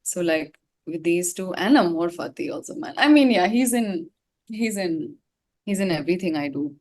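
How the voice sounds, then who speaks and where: A woman with a fairly low voice and an Indian English accent. She talks candidly in an interview, in an informal, slangy way. Her delivery is expressive and reflective. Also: normal speed. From Gangtok, India. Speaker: singer-songwriter being interviewed. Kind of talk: casual, candid interview talk.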